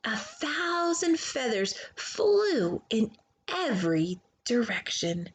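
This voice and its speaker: Slow, animated woman reading a storybook. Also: a little high, a little hiss or hum. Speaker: children's book reader. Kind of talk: expressive storybook read-aloud.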